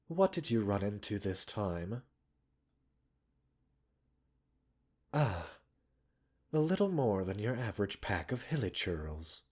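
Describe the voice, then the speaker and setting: A man performs as a gentle, self-assured doctor tending a patient in an ASMR roleplay. The voice sits low and breathy, with lively rises and dips. He is polite and soothing, lightly scolding and teasing as he goes. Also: exaggerated voice. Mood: concerned, probing. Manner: soothing, unhurried, politely formal with teasing asides. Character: gentle, composed male pharmacist doctor, mildly chiding and self-assured. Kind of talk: ASMR roleplay audio drama.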